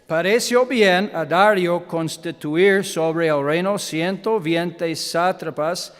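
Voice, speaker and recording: American-accented man, guest pastor, fairly high voice, halting speech with english fillers, long church reverb. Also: fairly bright tone. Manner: halting Spanish with English fillers, self-deprecating humor. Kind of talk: sermon with Bible reading. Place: church sanctuary.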